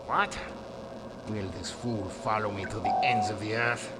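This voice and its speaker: Curt, menacing villain commander. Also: male voice, fairly low pitch, unhurried, gravelly. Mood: irritated, menacing. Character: irritable, menacing male Nazi senior officer villain. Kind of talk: video game villain acting. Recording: very noisy background.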